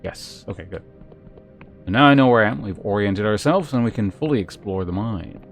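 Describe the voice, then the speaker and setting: A man's voice: lowish voice, expressive, fairly quick. A man streaming a video game with casual live commentary, thinking aloud in a rambling way. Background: music.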